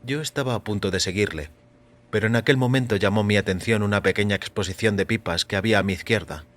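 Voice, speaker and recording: A man reading a first-person short story as a narrator, in a measured, deliberate way. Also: on the low side, a bit bright. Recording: music under the voice. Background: background music.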